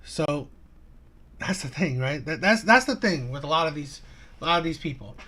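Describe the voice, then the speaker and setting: A man hosting a live stream and giving reaction commentary, talking in a halting way with many restarts and tag questions like "right?". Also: fairly high pitch, lively intonation, bright, forceful tone. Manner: halting, repeats "right?" and "okay?".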